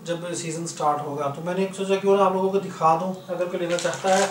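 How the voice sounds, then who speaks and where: High-voiced Pakistani salesman, roomy distant sound. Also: steady tone, fast. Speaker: shop seller, video host. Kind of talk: informal product demonstration sales talk. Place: indoor room, goods spread on floor. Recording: roomy, distant mic, faint noise.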